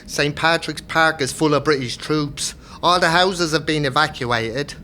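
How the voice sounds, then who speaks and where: A man plays a stoical, realistic Irish rebel in a historical audio drama. He talks tersely in a bright, forceful, fairly high voice, with faint noise behind. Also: measured pace. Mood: grim realism. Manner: terse, matter-of-fact.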